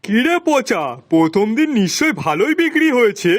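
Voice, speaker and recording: A man's voice: extremely high pitch, big pitch swings, moderate pace, no room sound, studio quality. A man voicing a bossy but kindly master in a cartoon, talking in a familiar, teasing, colloquial way to his servant. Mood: reassuring. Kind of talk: cartoon story dialogue. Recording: music behind the voice.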